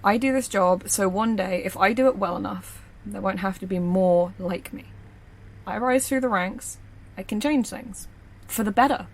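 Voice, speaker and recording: A woman's voice: medium pitch, normal speed, natural voice, poor audio. A woman playing a confident, observant security operative in an audio drama, with a blunt, dry way of talking. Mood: earnest, guarded. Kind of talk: naturalistic audio drama acting.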